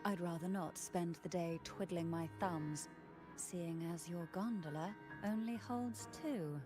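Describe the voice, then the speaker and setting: A woman's voice: lowish voice, a little slow, lightly acted. An actress playing a poised, self-possessed woman in a fantasy video game, elegant, wry and teasing, with music behind her. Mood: cool, wry.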